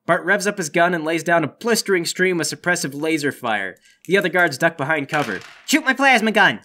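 A man's voice: on the high side, brisk, pushed and bright, slight background noise. A man narrating a tabletop role-playing game as game master.

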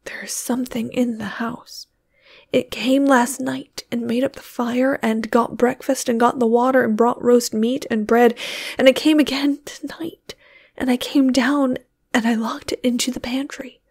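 A woman's voice: on the high side, fairly even intonation, measured pace, a little mellow, slightly rough. A woman voicing an elderly countrywoman who is frightened, urgent and close to tears. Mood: fearful. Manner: urgent, tearful.

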